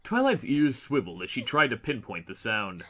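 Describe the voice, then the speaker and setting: A man's voice: medium pitch, wildly swooping pitch, normal speed, fairly bright tone. A man narrating a fantasy story as an audiobook reader, in a dramatic scripted style.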